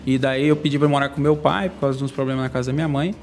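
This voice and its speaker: A young man from Brazil, a podcast guest, telling his life story in a fast, slangy, candid way. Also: medium pitch, fairly even intonation. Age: young adult. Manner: fast, slangy, candid, laughs between lines. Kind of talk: casual storytelling interview.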